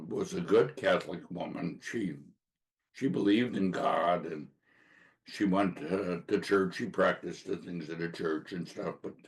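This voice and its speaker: Brief: hoarse male podcast host, very low gravelly voice, slow and halting, earnest testimony. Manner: halting, with frequent filler pauses. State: hoarse from a sore throat. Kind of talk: casual faith testimony monologue.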